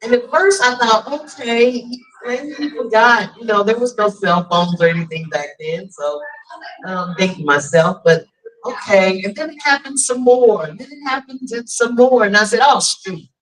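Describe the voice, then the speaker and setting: A woman emceeing and telling stories at a live event, animated and chatty. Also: on the high side, moderate pace. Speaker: emcee and storyteller. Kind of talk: live personal storytelling and hosting. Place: restaurant event room. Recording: distant mic in a live venue.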